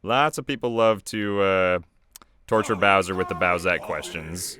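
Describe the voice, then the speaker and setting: A man's voice: deep voice, unhurried, pushed and bright. A young man giving casual, conversational commentary on his own video.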